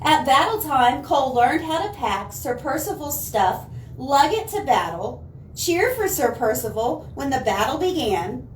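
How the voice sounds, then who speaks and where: A woman reads a children's story in a high, slow voice. She stresses words dramatically and drops in asides to her young listeners. The room rings around her, as if the mic is set some way off. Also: very animated. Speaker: children's librarian reading picture books aloud. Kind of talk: animated storytime read-aloud for children. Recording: roomy, distant mic, faint noise.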